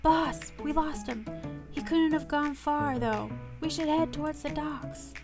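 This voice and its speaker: A woman's voice: high voice, a little slow, pitched above the performer's own voice. A woman voicing an urgent, dutiful male henchman in a suit for a romance story. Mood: urgent, reporting. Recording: loud music behind the voice.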